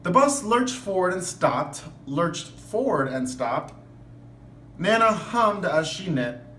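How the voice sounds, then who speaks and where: A man's voice: high voice, big pitch swings, slow pace, bright, forceful tone. A man reading a children's picture book aloud in an instructive way, stopping for asides and questions to his listeners. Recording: echoey room, distant mic, background noise.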